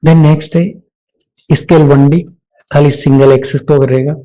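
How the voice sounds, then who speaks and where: A man with an Indian accent narrating a step-by-step software tutorial, explaining each action aloud as an instructor. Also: a little high, fairly even intonation, moderate pace, poor audio.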